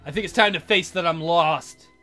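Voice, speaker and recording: A woman's voice: on the low side, normal speed, pushed and bright. A woman narrating a visual novel aloud, stumbling now and then and correcting herself. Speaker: let's player reading a visual novel aloud. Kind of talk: story narration read aloud with character voices. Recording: music behind the voice. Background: guitar game music.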